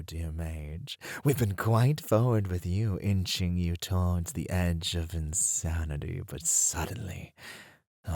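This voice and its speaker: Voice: low-pitched, a little mellow, dry recording, studio quality, exaggerated voice. An actor voicing a suave, dominant demon who flirts and teases in an ASMR roleplay. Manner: slow, purring, teasing delivery.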